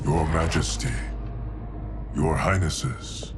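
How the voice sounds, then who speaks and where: An actor playing a stoic, ancient guardian of time in a video game, explaining things in a grave, formal manner. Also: male voice, very deep voice, fairly even intonation, slow, over loud music. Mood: grave, informative. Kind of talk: dramatic video game cutscene acting.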